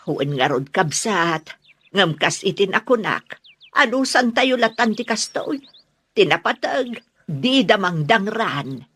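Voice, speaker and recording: A woman plays a worried farm woman in a radio drama. Her husky, mid-pitched voice is earnest and plaintive, recorded close and dry. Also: very animated, slow pace, a bit bright, no room sound, heavily acted. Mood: worried, subdued. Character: worried, aggrieved village woman farming with her family. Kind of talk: radio drama acting in Ilocano.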